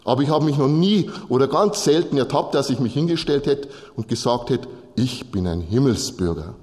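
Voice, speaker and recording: A man's voice: mid-range voice, expressive, normal speed, a little mellow. Male preacher in a reverberant hall. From Bavaria, Germany. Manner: explanatory, direct address with short repeated phrases. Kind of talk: sermon, teaching from Bible texts to a congregation.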